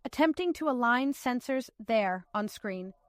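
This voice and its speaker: A woman's voice: a little high, animated, unhurried, no echo, clean studio sound, soft background music. A woman playing an analytical, dutiful starship science officer in a sci-fi audio drama, speaking precisely and formally. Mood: formal, reporting.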